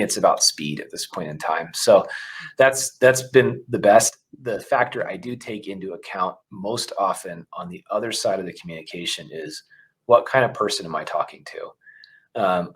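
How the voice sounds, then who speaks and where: A man's voice: deep voice, low-quality recording. A man who runs a company, a guest in an interview, speaking candidly and thinking aloud with fillers. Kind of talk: interview conversation.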